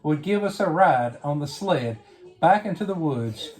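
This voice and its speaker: A woman reading a picture book aloud to a young child, pausing to ask simple questions. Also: low-pitched, expressive, darkish tone, faint music behind. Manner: simple questions and prompts addressed to a small child. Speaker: bedtime story reader.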